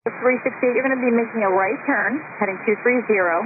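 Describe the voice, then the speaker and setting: An air traffic controller giving clipped, sometimes halting instructions over an aviation radio. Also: medium pitch, narrow, tinny audio. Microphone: radio transmission. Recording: noisy band-limited air traffic radio.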